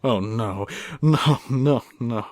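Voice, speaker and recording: A man's voice: medium pitch, slow pace, a little mellow, no room sound, clean studio sound, natural voice. A man playing a fervent, troubled young scientist in a melodramatic, theatrical radio drama style. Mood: horrified. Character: anguished, fervent young male scientist, recovering from madness. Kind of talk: melodramatic old-time radio acting.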